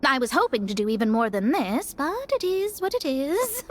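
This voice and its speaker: A woman's voice: high-pitched, expressive, moderate pace. A woman voicing a proud, money-minded young woman in a video game, a theatrical and self-assured negotiator. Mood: defensive, breezy.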